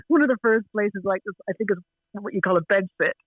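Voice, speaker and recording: A woman's voice: mid-range voice, lively intonation, fairly quick, dry recording. Canadian woman, chatty, on a tinny line. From Canada, Toronto. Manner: chatty, laughing storyteller. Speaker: guest. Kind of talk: casual chat over a call. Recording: dry tinny phone line.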